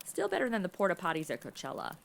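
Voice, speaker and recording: A woman's voice: lowish voice, wildly swooping pitch, fast, creaky, noisy background, exaggerated voice. A woman playing a flippant, sarcastic modern young woman stuck in the Old West, in a comedy audio drama. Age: young adult. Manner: sarcastic, slangy, breezy.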